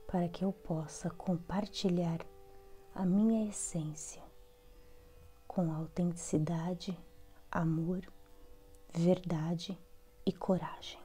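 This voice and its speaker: A Brazilian woman leading a guided meditation as a devotional prayer, in a soft voice that turns breathy at phrase ends, with measured pauses between short phrases. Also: lowish voice. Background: soft background music.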